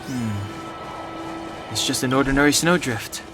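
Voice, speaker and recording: A man playing a cautious, level-headed young warrior in a video game, calm and dry. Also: mid-range voice, slow pace, over music, close to an everyday voice.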